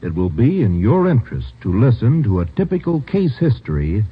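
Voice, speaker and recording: Animated husky male radio pitchman. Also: moderate pace. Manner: emphatic salesman delivery. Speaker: commercial announcer. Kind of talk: 1950s radio cigarette commercial read. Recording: dull old radio recording.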